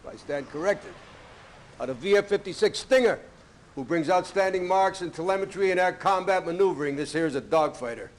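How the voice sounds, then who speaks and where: An actor playing a stern, gruff Navy commander who briefs pilots in a clipped, authoritative way in a video game. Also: male voice, high voice, lively intonation, fairly quick. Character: stern, gruff male Navy commander and flight school instructor. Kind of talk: acted video game cutscene dialogue.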